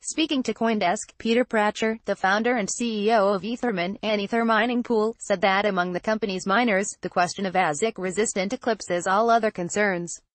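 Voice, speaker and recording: A woman's voice: mid-range voice, steady tone, a little fast, hardly any pauses, no room sound. A woman reading a scripted news article aloud in a formal manner, as a narrator.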